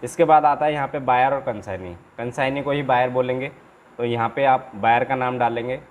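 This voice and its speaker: A man's voice: medium pitch, steady tone, brisk, narrow, tinny audio. A man teaching informally in an instructional video, explaining paperwork step by step and often repeating himself with small check-in tags. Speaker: trainer and channel host. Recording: clear background noise, room ambience.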